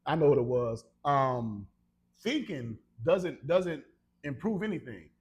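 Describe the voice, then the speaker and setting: An American man chatting casually as a podcast co-host, telling stories and teasing his friend in an animated way. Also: medium pitch, slow pace. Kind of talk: casual conversation between friends.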